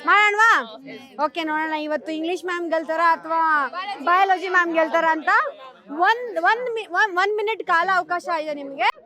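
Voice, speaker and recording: A woman's voice: extremely high pitch, a little fast. A woman with a Kannada accent hosting a college game show, urging on a student audience with short repeated phrases. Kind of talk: lively event hosting, addressing a student crowd. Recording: loud music and cheering crowd behind.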